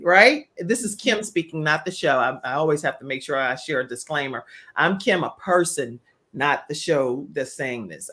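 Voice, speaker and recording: A woman's voice: low voice, fairly bright tone. A woman talk show host interviewing a panel of guests, speaking emphatically as she responds to them.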